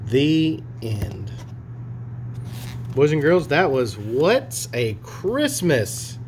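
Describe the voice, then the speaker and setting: A man reading a picture story aloud to children in an expressive storytelling style. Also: medium pitch, drawn-out words, a little hiss or hum. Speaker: storybook reader.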